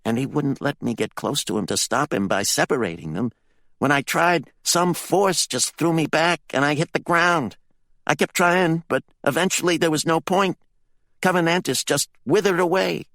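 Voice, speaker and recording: A man's voice: fairly high pitch, normal speed, fairly bright tone, dry recording, voice pushed up. A man voicing a rattled male companion who hurriedly tells what he saw. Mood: urgent. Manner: urgent, breathless retelling.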